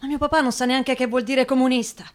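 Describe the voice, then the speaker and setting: A woman's voice: sits high, steady tone, quick pace, lightly acted. An actress playing an anguished working-class neighbourhood woman in wartime, plaintive, with a Roman accent, in a radio drama. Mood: bewildered.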